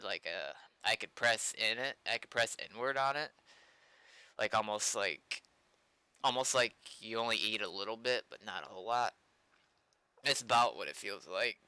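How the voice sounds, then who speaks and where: A young man with a very bright, crisp voice chats casually as a streamer. His delivery rambles and tends to trail off into mumbles. He sounds close and dry on the mic, recorded in a small room. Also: on the low side, dry recording. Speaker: streamer and game developer. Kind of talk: casual livestream chat.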